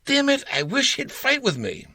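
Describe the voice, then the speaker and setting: Older man doing an exasperated, high-pitched young woman. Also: huge pitch swings, normal speed, pushed and bright, no echo, exaggerated voice. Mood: exasperated, pleading. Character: exasperated young woman complaining about her partner.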